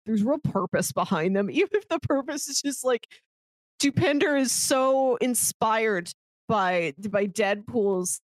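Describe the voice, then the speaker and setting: A woman with a fairly high, animated voice chats casually as a podcast co-host. Her intonation moves around a lot, and the sound is dry, with no room echo. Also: unhurried. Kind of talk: casual discussion of films.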